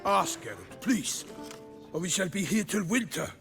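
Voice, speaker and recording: A haughty video game knight, creaky highish voice, easily outraged, old-timey courtly talk. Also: male voice, very animated, normal speed, over music, full character voice. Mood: indignant. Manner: exclamatory, theatrical, old-fashioned courtly phrasing. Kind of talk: video game dialogue acting.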